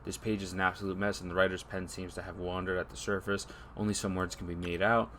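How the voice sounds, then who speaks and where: Male game streamer: lowish pitch, laid-back and slangy, casual reactions and read-alouds, faint noise. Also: flat delivery, fast, fairly bright tone.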